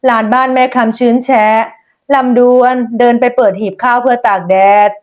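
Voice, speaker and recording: A woman's voice: a little high, steady tone, moderate pace. A woman narrating a scripted folk tale as a storyteller, dramatic and descriptive.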